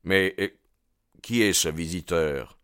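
A man voicing a composed, defiant young man in an audiobook, speaking in a quiet, dry manner. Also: low voice, slow pace, clear, resonant tone, no echo. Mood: bitter, tender. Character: cool, defiant, bitterly self-aware young man.

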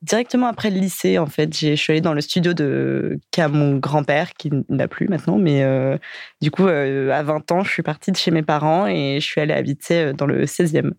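A woman's voice: sits low, brisk, gravelly, darkish tone, dry recording, clean studio sound. A young woman from France, a podcast guest answering casually and hesitantly, with many fillers.

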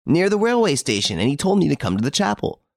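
A man voicing a dutiful, eager chauffeur in an audiobook, brisk and respectful as he explains himself. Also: fairly high pitch, big pitch swings, gravelly, no room sound, clean studio sound, pitched above the performer's own voice.

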